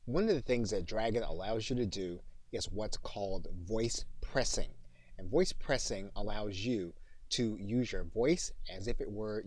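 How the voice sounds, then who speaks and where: A man narrating an instructional software tutorial as a trainer, in an explanatory way. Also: lowish voice, no echo.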